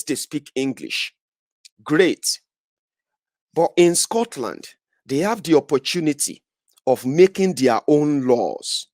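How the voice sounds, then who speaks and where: A man's voice: a little high, dry recording, studio quality. A Nigerian man giving an emphatic political monologue, repeating phrases for effect. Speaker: political commentator. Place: Scotland, indoors.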